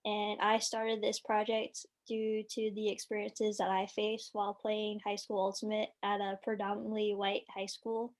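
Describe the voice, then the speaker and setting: A woman's voice: medium pitch, completely flat delivery, moderate pace, few pauses. A young woman introducing her student project in a casual way, often saying "like". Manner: casual, frequent "like". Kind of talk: self-introduction.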